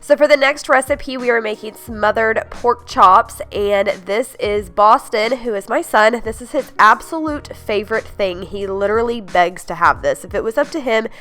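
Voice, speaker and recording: A young woman with a congested, stuffed-up voice, chatting upbeat about easy slow-cooker recipes as a home cooking vlogger. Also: a little high, a bit bright, soft background music. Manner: upbeat, gushing, many "like"s and "you guys". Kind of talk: casual chatty recipe voice-over.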